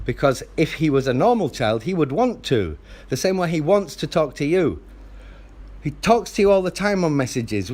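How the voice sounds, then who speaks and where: A father on a phone call, arguing in a loud, insistent, lecturing way with the phone held close to his mouth. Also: a little high. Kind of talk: heated phone argument.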